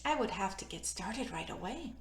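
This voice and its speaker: A woman's voice: mid-range voice, animated, normal speed. A woman hosting a children's storybook read-aloud, playful and informal as she chats to young listeners and narrates. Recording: roomy, echoey room sound.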